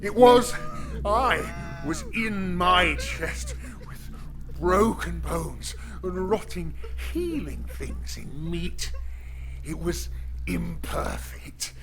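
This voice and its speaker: An actor playing a menacing, tormented pit creature, once a broken man, in a horror audio drama, halting and veering between whispers and anguish. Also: high voice, sing-song, a crowd in the background, full character voice. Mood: frantic, wailing.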